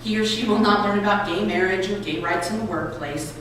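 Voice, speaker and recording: A woman reading aloud to a gathering with clear, expressive storybook phrasing. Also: medium pitch, brisk. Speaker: reader at a public read-aloud event. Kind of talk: reading a children's book and an essay aloud. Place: college building lobby. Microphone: distant microphone. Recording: echoey hall, distant mic, noisy ambience.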